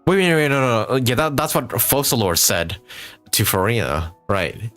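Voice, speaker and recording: A man giving casual, expressive live reactions as a game streamer, often swearing. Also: medium pitch, normal speed. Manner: profane, giggly, expressive. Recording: game music behind.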